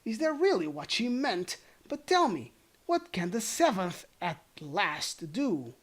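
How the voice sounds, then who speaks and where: Someone acting out a hearty, high-pitched old goblin man. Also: sing-song, slow. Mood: hearty, curious. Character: jovial old male goblin, hearty and boastful patriarch. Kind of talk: storybook character voice.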